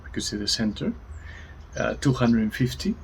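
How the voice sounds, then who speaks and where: A man's voice: medium pitch, big pitch swings, normal speed, gravelly, poor audio. A man co-presenting, reporting readings in brief, matter-of-fact statements. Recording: background ambient noise.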